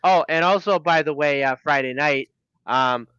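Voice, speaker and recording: A man co-hosting a casual racing podcast, speaking haltingly with many fillers. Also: on the high side, pushed and bright, clean tone, dry recording. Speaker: podcast co-host. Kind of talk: casual sports chat. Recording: dull, slightly lo-fi sound.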